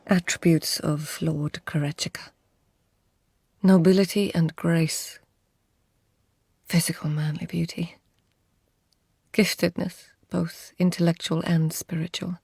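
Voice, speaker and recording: An actress voicing an observant court lady who lists a man's virtues in a slow, reflective way. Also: lowish voice, fairly even intonation, gravelly, a little mellow, slightly rough, dry recording, studio quality, heavily acted. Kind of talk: British radio drama narration.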